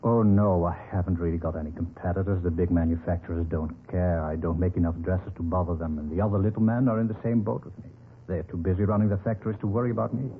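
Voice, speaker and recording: An actor playing a gentle, trusting but stubborn elderly businessman in an old radio drama, speaking mildly and hesitantly and repeating himself. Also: low voice, steady tone, fast talker. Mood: mild, reassuring. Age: older. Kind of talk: vintage radio drama acting. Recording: tinny, noisy vintage radio recording.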